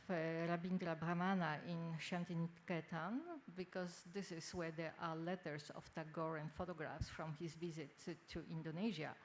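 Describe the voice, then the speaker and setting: An older woman from Poland with a Polish accent gives a formal conference lecture in halting, hesitant phrases. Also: lowish voice. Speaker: academic speaker, textile researcher. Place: indoor symposium venue. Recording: noisy live symposium room recording.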